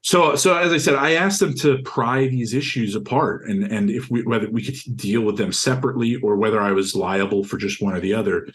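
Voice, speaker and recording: Deep-voiced young man recounting events. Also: fairly quick, hardly any pauses. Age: young adult. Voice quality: deep, carrying voice. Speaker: interviewee, university student. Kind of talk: recounting a personal experience in an interview.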